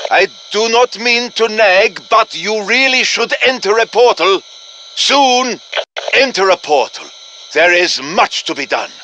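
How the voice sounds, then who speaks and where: Theatrical German professor, high bright voice. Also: male voice, slight background noise, exaggerated voice, poor audio. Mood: urgent warning. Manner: dramatic, urgent, courtly encouragement. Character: earnest, theatrical male professor guiding his fighters. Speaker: game character voice. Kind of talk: scripted video game voice lines.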